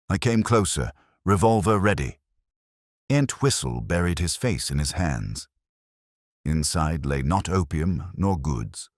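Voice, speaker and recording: A man's voice: deep voice, dry recording, clean studio sound. A man reading a mystery story as an audiobook narrator, in a dramatic scripted style.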